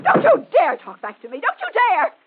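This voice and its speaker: A high, scolding, domineering mother. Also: huge pitch swings, fairly quick, narrow, tinny audio, heavy background noise, exaggerated voice. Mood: furious. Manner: scornful, repeating commands. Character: scolding, domineering mother of a teenage girl, indignant. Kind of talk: 1940s American radio drama acting. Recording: thin, band-limited old radio recording.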